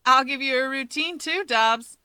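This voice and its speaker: A woman acts out a brash young rabbit heroine in a narrated story. She is loud, chatty and teasing, with a high, bright voice and plenty of cocky swagger. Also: unhurried, smooth, dry recording. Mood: playful.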